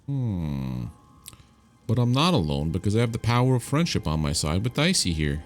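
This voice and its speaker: A man's voice: fairly low pitch, very animated, moderate pace, faint music behind. A man hosting a casual let's-play, offhand and wry as he comments and reads out dialogue choices. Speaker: gaming video host.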